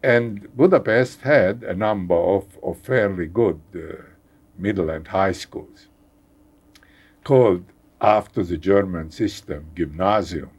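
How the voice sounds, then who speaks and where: An older man from Hungary with a Hungarian accent, speaking haltingly as a guest in a conversational interview, repeating words and chuckling wryly. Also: sits low, darkish tone, slightly rough. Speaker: guest scientist.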